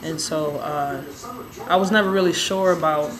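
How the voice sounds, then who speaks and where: A young woman with a raspy, hoarse voice telling a personal story in a relaxed, rambling way with many fillers. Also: sits low, moderate pace, crowd noise, poor audio. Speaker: vlogger. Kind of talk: casual personal storytelling to camera.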